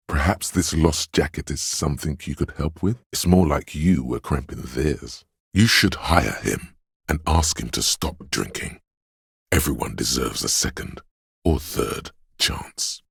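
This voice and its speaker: A man voicing a grave, compassionate inner voice of empathy as a video game narrator, speaking in a measured, solemn way with a husky, gravelly tone. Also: extremely low pitch, darkish tone, dry recording, studio quality, heavily acted. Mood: concerned, observant.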